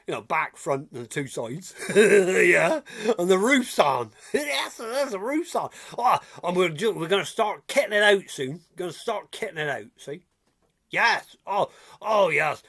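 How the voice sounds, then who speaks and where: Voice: mid-range voice, a bit bright, breathy edge, exaggerated voice. A performer playing a chatty old village man, who rambles, repeats himself and chuckles as he presents a comic show. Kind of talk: comic character monologue.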